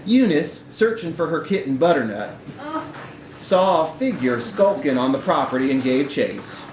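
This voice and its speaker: Higher-voiced man reading aloud, roomy live recording. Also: big pitch swings, normal speed, slight background noise. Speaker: reader of a short story at a live literary event. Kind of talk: expressive live story reading. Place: indoor bar reading event.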